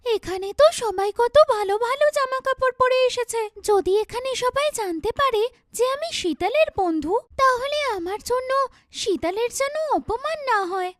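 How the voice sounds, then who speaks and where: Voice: very high voice, lively intonation, normal speed, fairly bright tone, no room sound, studio quality. A performer voicing an earnest, chatty young schoolgirl in a children's cartoon, with exaggerated, emphatic childlike delivery. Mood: worried.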